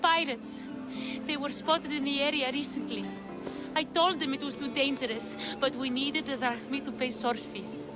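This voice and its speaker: A woman's voice: high-pitched, fairly even intonation, moderate pace, loud music behind the voice, lightly acted. An actress playing an anxious fisherman's wife in a video game, earnest and pleading. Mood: fearful.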